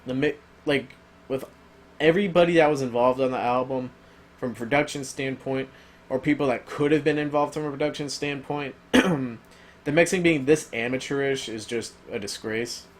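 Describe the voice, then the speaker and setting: A man's voice: mid-range voice, moderate pace, a little hiss or hum. A man giving a casual, rambling spoken review, full of ums and uhs, who says he is losing his voice. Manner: rambling, filler-heavy, opinionated. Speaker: album reviewer.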